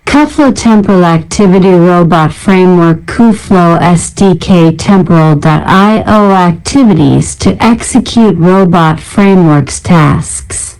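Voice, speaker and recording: Female narrator, lowish smooth voice, unhurried scripted read-out of technical names and short descriptions. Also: a little mellow, low-quality recording. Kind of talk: scripted narration, reading out a software library list.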